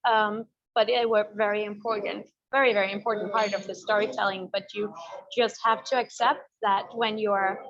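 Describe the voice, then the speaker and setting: A woman's voice: mid-range voice, flat delivery, normal speed, fairly bright tone. A woman documentary filmmaker answering thoughtfully in a festival interview, often searching for words. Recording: dull lo-fi audio.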